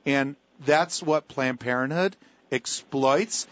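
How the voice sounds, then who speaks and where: A man's voice: medium pitch, slow pace, no echo, muffled recording. A man hosting a talk radio show, talking with callers and guests in an emphatic, preacher-like way with a lot of repetition.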